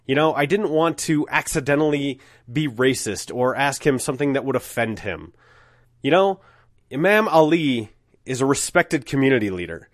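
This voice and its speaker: A man's voice: a little high, dry recording, studio quality. A middle-aged man with a cold, hosting a podcast and speaking in an earnest, candid, conversational way. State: has a cold. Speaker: podcast host. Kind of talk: casual podcast intro monologue.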